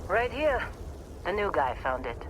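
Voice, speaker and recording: A woman's voice: fairly low pitch, very animated, a little slow, slight background noise, low-quality recording. A woman playing a blunt, dry, commanding female mining supervisor in a video game, weary and no-nonsense. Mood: curt, irritated.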